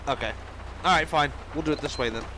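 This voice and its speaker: A man hosting a police game video, talking casually over the gameplay while he is sick with a cold, and shouting commands at suspects during stops. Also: fairly high pitch, quick pace, a little hiss or hum. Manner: barks loud commands at suspects.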